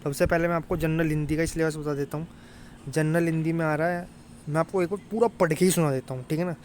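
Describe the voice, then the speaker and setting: A man's voice: fairly high pitch, steady tone, fast, a little mellow, a little hiss or hum. A young man informally explaining to students as an exam tutor, colloquial and often checking that they follow. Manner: colloquial, repeatedly addresses listeners as brother, checks understanding.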